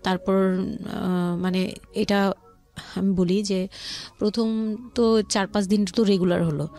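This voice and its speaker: A woman with a Dhaka Bangladeshi accent telling her life story as a guest, haltingly, often rephrasing as she explains. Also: medium pitch, flat delivery, dark tone. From Old Dhaka, Bangladesh. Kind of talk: personal storytelling in conversation. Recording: music playing behind the voice.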